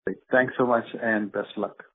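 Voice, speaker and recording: A man calling in as an analyst, asking polite questions in a husky voice with hesitant, conversational phrasing. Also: on the low side, steady tone, brisk. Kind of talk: polite question on an earnings call. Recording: rough, narrow phone line.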